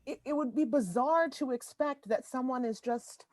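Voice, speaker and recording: A woman's voice: high-pitched, moderate pace, darkish tone, dry recording. A woman opera singer talking candidly and frankly on a panel over a video call. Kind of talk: panel discussion.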